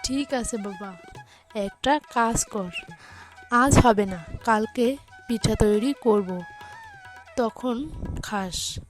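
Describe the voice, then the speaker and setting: A woman's voice: a little high, extremely slow, pauses often, faint music behind. A woman voicing a poor, caring village mother in a children's cartoon, patient and coaxing.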